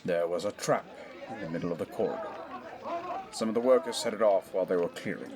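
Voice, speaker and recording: A man's voice: deep voice, fast talker, crowd noise, natural voice. A man playing a stern, middle-aged English lord and father in a 1920s audio drama, giving clipped, commanding orders. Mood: tense, grim. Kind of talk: scripted audio drama acting.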